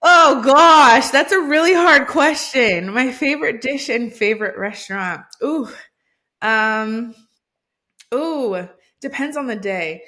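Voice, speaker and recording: A woman's voice: high voice, sharp tone. A woman who grew up in Hawaii, a podcast guest talking in an upbeat, casual way about her coaching work. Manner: upbeat, fast-talking with fillers. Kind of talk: casual interview chat.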